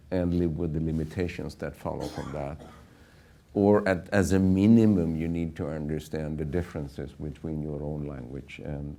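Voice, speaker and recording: A man speaking as a panelist on a conference panel, explaining in a measured way. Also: rumbling low, vocal fry, dark tone. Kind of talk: panel discussion.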